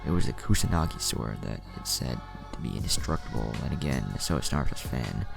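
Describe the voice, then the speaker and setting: Male narrator, extremely deep and fry-laden, scripted storytelling over a music bed. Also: animated, brisk. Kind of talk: scripted fan fiction narration.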